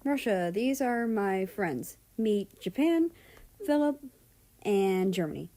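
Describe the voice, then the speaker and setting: A woman voicing a friendly, energetic schoolboy who talks in an eager, casual way. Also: mid-range voice, takes it slowly. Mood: chatty, introducing friends. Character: cheerful, chatty, friendly schoolboy, short and energetic.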